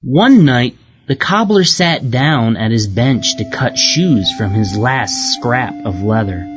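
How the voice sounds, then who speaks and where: Animated storybook narrator, slightly muffled, over music. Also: mid-range voice. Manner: expressive, deliberate storytelling for young learners. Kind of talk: scripted children's read-aloud narration. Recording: muffled lo-fi audio with music behind.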